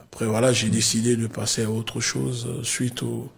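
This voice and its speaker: A man born and raised in Africa, a guest on an interview show, talking about himself with many pauses and fillers. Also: mid-range voice, level tone, gravelly, slightly rough. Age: adult father. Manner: hesitant, many fillers and restarts. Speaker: guest, spokesman of a movement. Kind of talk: casual interview talk.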